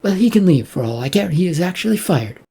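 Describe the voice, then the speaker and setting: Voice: mid-range voice, big pitch swings, quick pace, dark tone, breathy edge, voice pushed up. A narrator voicing a defiant, dismissive female company boss with a curt, defensive manner, in an audio story.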